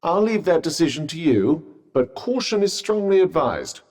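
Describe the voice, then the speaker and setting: A man's voice: fairly high pitch, sing-song, moderate pace, darkish tone, big-room sound. An actor playing a dry-witted, sardonic male magic bracelet in a video game, speaking with posh understatement. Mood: wry. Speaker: companion character. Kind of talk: game voice lines.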